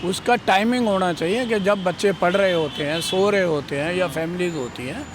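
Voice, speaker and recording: A man giving a measured opinion to a reporter, explaining with examples. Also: high voice. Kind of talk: street interview. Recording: clear traffic noise.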